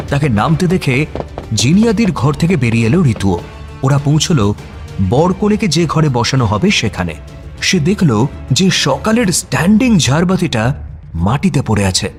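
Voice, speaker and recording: Male narrator, very animated intonation, dramatic suspense storytelling, tense pauses, music underneath. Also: fairly high pitch. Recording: music behind the voice.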